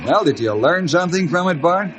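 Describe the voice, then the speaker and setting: A man's voice: high-pitched, animated, fairly quick, fairly bright tone, a little hiss or hum. A man playing a friendly, fatherly ranger leader with an instructive manner in an old-time radio adventure. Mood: reassuring, instructive. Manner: avuncular, instructive.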